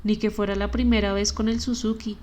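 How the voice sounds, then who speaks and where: Homeless man, slangy and easygoing, monotone read, as a female narrator puts him on for an audiobook. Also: high-pitched, brisk, lightly acted. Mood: laid-back. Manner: relaxed street slang.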